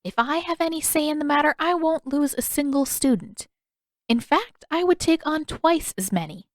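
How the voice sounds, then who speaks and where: A narrator puts on a kindly older woman, a healer in a fantasy story. She speaks in a measured, patient way, calm but gently firm, often questioning. The sound is close and dry. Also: sits high, no echo, voice pushed up. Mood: gently amused.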